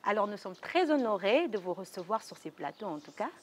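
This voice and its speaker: A woman hosting a TV interview show in a studio, formal and polite, with some halting restarts. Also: mid-range voice, big pitch swings, a little hiss or hum. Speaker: talk show host.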